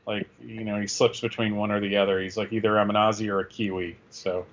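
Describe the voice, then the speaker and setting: A man's voice: fairly low pitch, level tone, fast talker. Slightly muffled man chatting casually. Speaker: co-host. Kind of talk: casual chat.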